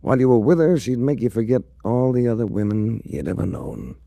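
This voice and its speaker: A man's voice: mid-range voice, sing-song, fairly quick, creaky, mellow, no echo. An American man playing a suave, shrewd adventurer-detective in a 1940s radio drama, speaking with dry, worldly understatement. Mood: wry narration. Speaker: lead actor and first-person narrator in a radio detective series.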